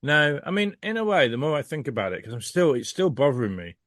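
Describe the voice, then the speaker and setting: A man's voice: fairly high pitch, sing-song, quick pace, fairly bright tone, no echo, studio quality. A man giving a casual podcast review as a guest, speaking in a rambling, emphatic, frank way.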